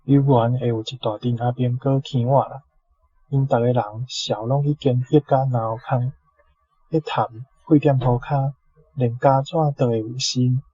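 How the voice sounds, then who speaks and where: Mellow, husky Taiwanese man, dull recording. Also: medium pitch, fairly even intonation, normal speed, no room sound. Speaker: Taiwanese language teacher. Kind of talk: reading out a translation.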